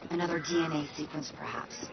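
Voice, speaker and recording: An actress playing a brisk, curious tech operator in a video game, matter-of-fact and conversational. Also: female voice, deep voice, flat delivery, heavy background noise. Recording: dull audio under loud music.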